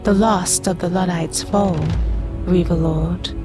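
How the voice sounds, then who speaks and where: A woman's voice: on the low side, fairly even intonation. A woman playing a delicate-voiced, assertive seeress with a subtle menace in an audio drama. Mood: ominous. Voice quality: soft, delicate. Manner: slow, assertive, portentous. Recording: music under the voice.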